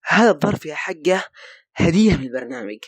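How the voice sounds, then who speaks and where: A man playing a polite television street interviewer in a cartoon, asking brisk, formal questions. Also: fairly high pitch, expressive, husky, dry recording. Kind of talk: cartoon comedy dub.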